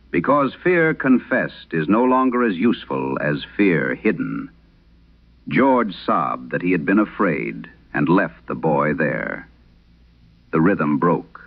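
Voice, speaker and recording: Guy doing old exorcist priest, super deep n gravelly, grave horror radio story, old radio sound. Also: narrow, tinny audio, heavily acted. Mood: solemn, haunted. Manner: grave, measured, dramatic pauses. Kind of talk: solemn first-person horror radio storytelling. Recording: band-limited vintage radio sound.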